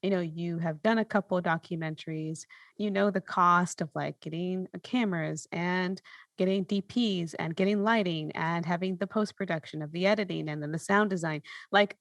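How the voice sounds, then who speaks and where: A woman's voice: lowish voice, normal speed, dry recording. A woman hosting a podcast, chatting casually and conversationally with her guest. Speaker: podcast host.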